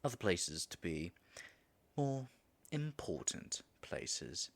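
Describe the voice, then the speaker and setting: A man playing a flustered, low-voiced disguised dragon. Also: very animated, takes it slowly, heavily acted. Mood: shy, musing. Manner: stammering, nervous, muttering asides to himself. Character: flustered, flirtatious male dragon disguised in human form. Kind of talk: audio roleplay addressed to the listener.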